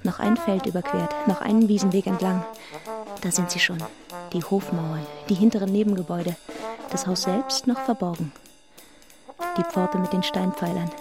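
Radio play narrator female actor: first-person heroine, lower register, measured dramatic reading, clear trombone music underneath. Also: close to an everyday voice. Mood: tense anticipation. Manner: measured, intense, in clipped literary phrases. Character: reflective heroine narrating her own story. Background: brass music with trombone.

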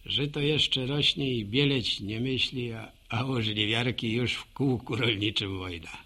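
A folksy country postman. His voice is husky and creaky. He rambles at an unhurried pace, a proud local gossip in a radio play. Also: mid-range voice, pushed and bright. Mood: musing, proud. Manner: folksy, rambling, musing aloud to himself and listeners. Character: chatty, homespun old village postman, proud local gossip. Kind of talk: radio drama monologue.

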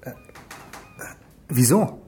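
A man's voice: very slow, mellow, a little hiss or hum. A man in a German radio crime drama playing a wary, unsettled police detective, hesitant and questioning. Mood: puzzled, wary.

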